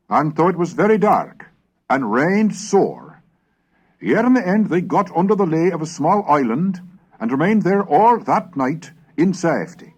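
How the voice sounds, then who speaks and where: A man reading scripted historical narration in a formal, measured, expressive manner, as the narrator of a recorded lesson. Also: a little high.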